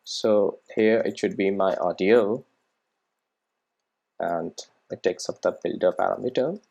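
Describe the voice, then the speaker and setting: A man giving an unscripted step-by-step software tutorial as an instructor, often checking that the listener follows with short tag questions. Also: low voice, measured pace, creaky, husky, muffled recording.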